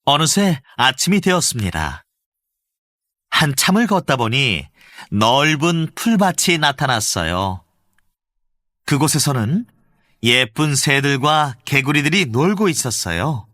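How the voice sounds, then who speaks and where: A man narrating a children's storybook with an expressive storytelling lilt. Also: medium pitch, a little slow, no room sound, studio quality.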